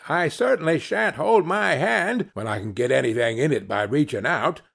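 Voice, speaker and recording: A man's voice: lively intonation, moderate pace, vocal fry, a bit bright, breathy edge, full character voice. A man voicing a coarse, greedy woman who cackles and mocks. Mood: cool. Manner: shrill cackling laughter. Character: coarse scheming old charwoman, mocking and greedy.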